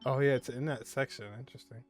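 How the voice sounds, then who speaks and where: A man's voice: mid-range voice, flat delivery, fast talker, a little mellow. A man doing casual let's-play commentary, offhand and jokey, half talking to himself while he plays. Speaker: gaming streamer. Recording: game music behind the voice.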